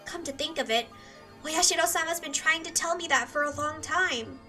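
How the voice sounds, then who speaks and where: A woman's voice: high-pitched, normal speed, pushed and bright, recorded from a distance, faint music behind. An unhinged cursed teenage girl. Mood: obsessive. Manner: obsessive, repeating apologies, swinging from whisper to scream. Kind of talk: dubbed anime drama monologue.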